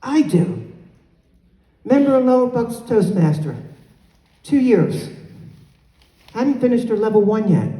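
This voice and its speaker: Loud animated woman in an echoey hall. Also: on the high side. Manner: loud, animated, moves the mic away to yell. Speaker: conference speaker. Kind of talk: motivational conference address. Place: large hotel conference hall. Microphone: handheld mic, filmed from far off. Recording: echoey hall, recorded from far off.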